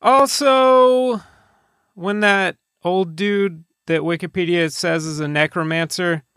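A man's voice: a little high, vocal fry, a bit bright, no room sound, clean studio sound. A man co-hosting a podcast in casual chat, with a wry, teasing way of talking. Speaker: podcast co-host.

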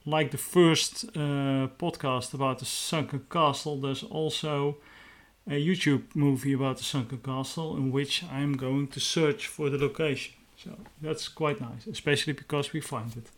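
A man's voice: mid-range voice, steady tone, normal speed. A man with a Dutch accent, a native Dutch speaker, hosting his own podcast in plain, earnest talk with hesitant fillers. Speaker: podcast host. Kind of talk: casual solo podcast talk.

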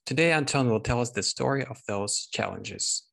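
A man's voice: medium pitch, animated, moderate pace, creaky, fairly bright tone. A man hosting a podcast, introducing and interviewing a guest. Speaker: podcast host.

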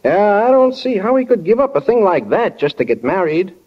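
A man's voice: high-pitched, very animated, fairly quick. A man playing a chatty, boyish small-town newspaper carrier in a 1940s radio drama. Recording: muffled old radio recording.

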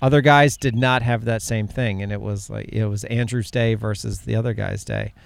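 A man's voice: lowish voice, fairly even intonation, normal speed, vocal fry. A man from upstate New York hosting a casual interview, asking questions with enthusiastic restarts. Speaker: podcast host.

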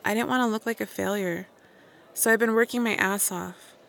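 Young woman, slightly gravelly, guarded, wistful homecomer, naturalistic audio drama. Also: mid-range voice, steady tone, moderate pace, fairly bright tone. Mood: guarded, confessional. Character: young woman back home after years away, guarded, wistful. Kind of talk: intimate audio drama acting.

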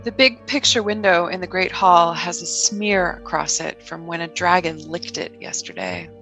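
A woman performing improvised scene-setting narration in a deliberate manner. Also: on the low side, lively intonation, fairly bright tone, soft background music, rough recording. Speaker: improv comedy podcast performer.

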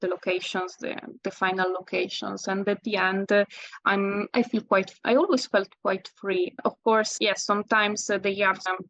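A woman in her thirties with an Italian accent, answering interview questions in halting English with many restarts. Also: medium pitch, flat delivery, a bit bright, no echo, dull audio. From Italy. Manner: halting, many restarts and fillers, laughs often. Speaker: guest, landscape photographer.

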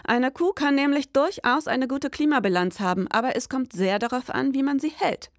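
An actress playing a precise, sober detective in a scripted noir audio drama, speaking in formal, matter-of-fact wording. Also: female voice, high voice, moderate pace, no echo, clean studio sound.

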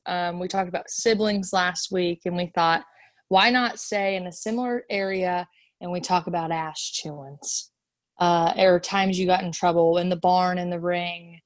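A woman's voice: lowish voice, steady tone, normal speed, no echo. A woman in her twenties co-hosting a podcast in casual chat, blunt and teasing, laughing often. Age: 20s. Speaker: podcast co-host.

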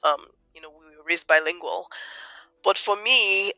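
A woman from Nigeria giving thoughtful interview answers as a guest, measured and reflective with fillers. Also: lowish voice, bright, forceful tone, no echo, highs cut off, soft background music. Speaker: guest economist.

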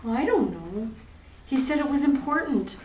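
A woman playing a sharp-tongued, witty wife. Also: sits high, big pitch swings, a little hiss or hum. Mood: teasing. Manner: teasing, quick-witted. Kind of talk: 1940s radio acting. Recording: echoey room, distant mic, music behind.

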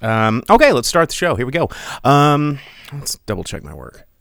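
A man's voice: medium pitch, sing-song, moderate pace, vocal fry, fairly bright tone, no echo, studio quality. A man hosting a podcast livestream in a casual, rambling way, with lots of ums and restarts and a loose, jokey tone. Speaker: podcast host.

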